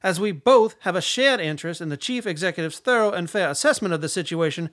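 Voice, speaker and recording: An actor playing a stern, contemptuous older defense secretary in a radio drama, formal, clipped and condescending. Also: male voice, high voice, expressive, fast, fairly bright tone. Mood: sarcastic, controlled.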